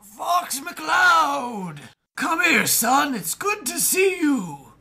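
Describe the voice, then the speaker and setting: A man voicing an old male hound general who is hearty, blustering and slipping into confusion, with a raspy, gravelly voice. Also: sing-song, extremely slow, sharp tone, raised voice, heavily acted. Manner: booming, jovial, drifts into confusion. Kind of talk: fan audio drama reading.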